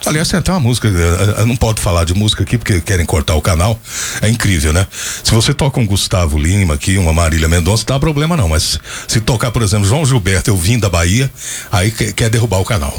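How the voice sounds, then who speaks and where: A man's voice: lowish voice, lively intonation, fast, slightly rough. Chatty middle-aged male radio host. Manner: chatty, rambling, trails off into asides. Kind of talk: casual on-air chat and storytelling.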